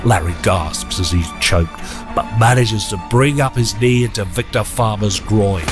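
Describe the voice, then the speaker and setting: Male actor as grave radio-thriller storyteller; low, unhurried and measured delivery over music. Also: natural voice. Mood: dramatic, suspenseful. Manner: measured, deliberate, formal. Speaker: narrator. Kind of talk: dramatic scripted radio narration. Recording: loud music under voice.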